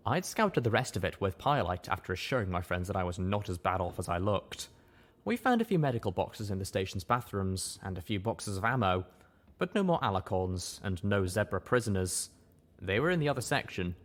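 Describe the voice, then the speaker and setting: A man's voice: deep voice, a little hiss or hum. A man reading a story aloud as first-person narration.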